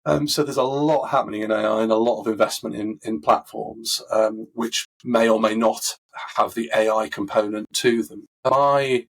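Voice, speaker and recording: Husky-voiced man talking through coaching. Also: lowish voice, fairly even intonation, moderate pace, hardly any pauses, poor audio. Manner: thoughtful, many fillers and restarts. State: husky from a recovering voice. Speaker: coaching expert guest. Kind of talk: conversational interview answers.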